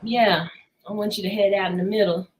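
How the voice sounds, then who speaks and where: A woman's voice: medium pitch, fairly even intonation, fairly quick, a little hiss or hum, low-quality recording. A woman chatting casually as host of a book discussion, playful and slangy in her reactions. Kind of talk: casual book club chat.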